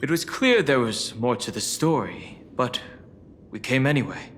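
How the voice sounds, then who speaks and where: An actor playing a cool, proud young male warrior in a video game, reserved and measured. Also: mid-range voice, very animated, fairly bright tone, slight background noise.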